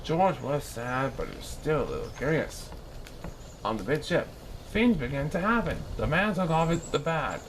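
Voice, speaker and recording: A man reading a children's story aloud in an expressive, animated style with dramatic pauses. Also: on the high side, a little hiss or hum.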